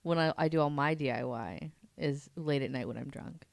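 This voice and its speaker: Guy w gravelly fry in his voice, dry close mic, joking around casually as podcast guest. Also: a little high, normal speed, no echo. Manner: wry, playful.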